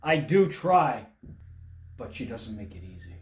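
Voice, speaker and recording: A man's voice: mid-range voice, sing-song, unhurried. A man playing a sheepish, wisecracking young errand boy, the boss's son, in a 1940s radio comedy. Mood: wry, sarcastic. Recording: echoey room with noise and clicks.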